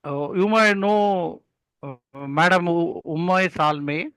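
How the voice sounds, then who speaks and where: Older male, South Indian accent, Malayalam native; bright, high voice, didactic conversational storyteller, dry sound. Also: slow, clear, resonant tone, no echo. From Kerala, India. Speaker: guest, experienced club speaker.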